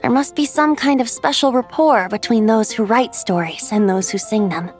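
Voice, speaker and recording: A woman's voice: fairly high pitch, normal speed, darkish tone, lightly acted. A woman voicing a playful young male bard god in a game, cheerful and teasing with frequent laughs. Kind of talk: game voice acting. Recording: game audio with music behind.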